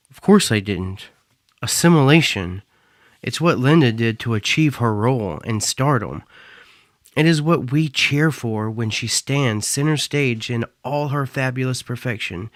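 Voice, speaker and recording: Male actor as a bitter young cyborg performer, mid-pitched, dry studio sound. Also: no echo, natural voice. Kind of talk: audio drama acting.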